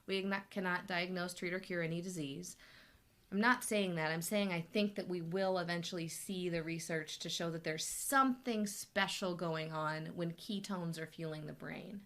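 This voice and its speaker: Woman, health coach, chatty, rambling, bright forceful voice, slightly low, voice recovering from illness. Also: steady tone, moderate pace. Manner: chatty, rambling, with many restarts. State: voice still recovering from being sick. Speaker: health coach and show host. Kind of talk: casual live Q&A chat.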